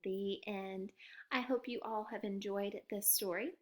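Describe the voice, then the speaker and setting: A woman reading a children's story aloud as a storyteller, with expressive, emphatic narration. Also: mid-range voice, a little slow.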